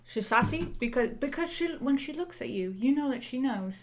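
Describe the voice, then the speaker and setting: A woman playing a cheeky young male Cockney lamplighter, chatting in a halting, repetitive, self-assured way in an improvised comedy review. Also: high voice, animated, a crowd in the background. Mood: opinionated. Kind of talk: improvised in-character film review chat.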